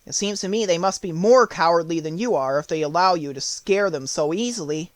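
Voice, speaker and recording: A man's voice: on the high side, brisk, dry recording, lightly acted. A man voicing a young farm girl in a storybook, plain-spoken, curious, direct and friendly. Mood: welcoming.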